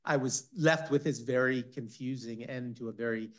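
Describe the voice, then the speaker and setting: Halting man telling a story. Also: mid-range voice. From Israel-based. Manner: halting, many restarts and fillers. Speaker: panelist. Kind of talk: storytelling in a panel discussion.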